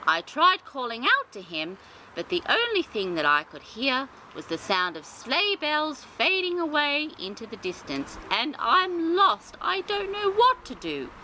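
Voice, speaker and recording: Woman voicing a plaintive high-pitched little doll. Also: huge pitch swings, unhurried, pushed and bright, a little hiss or hum, exaggerated voice, low-quality recording. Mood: tearful, lost. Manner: plaintive, childlike. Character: sweet little lost female doll, forlorn. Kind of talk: storybook character voice.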